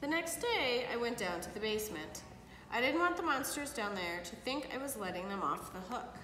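A middle-aged woman reads a children's story with a bright, fairly high voice and very expressive delivery. The room is echoey and reverberant, picked up from a little way off. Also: moderate pace. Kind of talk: read-aloud of a picture book for children. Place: living room, indoors. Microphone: camera a few feet away. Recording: echoey hard-walled room, some background noise.

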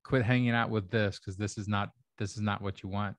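A man's voice: lowish voice, fairly even intonation, fairly quick, no echo. A middle-aged man from Kentucky hosting a podcast, musing in a casual chat with wry joking asides, speaking into a desk microphone at home. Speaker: podcast host. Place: home room. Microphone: desk microphone on arm.

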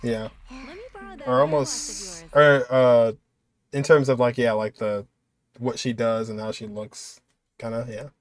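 A man in his twenties talks through a video as he watches it. His voice sits at a middle pitch, and his delivery is casual, off-the-cuff commentary from a reaction host. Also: flat delivery, takes it slowly, pauses often.

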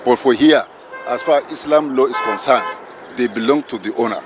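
An older Nigerian man answering a street interview in a halting, matter-of-fact way into a handheld mic. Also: mid-range voice, a crowd in the background. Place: busy city street outdoors. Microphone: handheld mic held by interviewer. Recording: street traffic noise.

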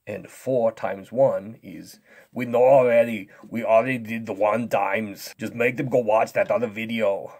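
Male actor as boastful, dim strongman for children's comedy lesson; lowish, husky, sulky and dismissive. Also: fairly even intonation, a little slow. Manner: pompous, loud, sulky and dismissive. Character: vain, boastful, dim-witted strongman who belittles tiny kids. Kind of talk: comic kids' maths lesson skit.

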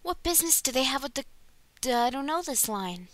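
A woman rehearsing amateur dub lines in a halting way, correcting herself in asides. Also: high voice, sing-song, fairly bright tone, a little hiss or hum. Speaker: amateur fandub voice actor.

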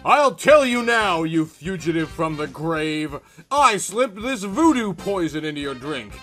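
A man's voice: on the high side, big pitch swings, unhurried, pushed and bright, faint music behind, heavily acted. A man playing a stern, scheming husband, melodramatically, in a horror comic reading. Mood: vengeful. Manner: melodramatic, emphatic.

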